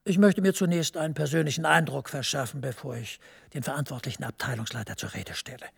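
Stern male official in a TV drama, gravelly and husky. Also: medium pitch, fast talker, heavily acted. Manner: formal, reprimanding. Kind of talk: scripted TV drama acting.

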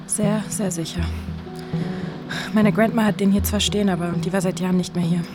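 A confident young woman with a mid-pitched voice, played by an actress in an audio drama. She is practical and reassuring, with clear music playing underneath. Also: fairly even intonation, moderate pace, natural voice. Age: young adult. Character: Leslie, young woman, confident, reassuring, pragmatic best friend. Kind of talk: naturalistic audio drama acting.

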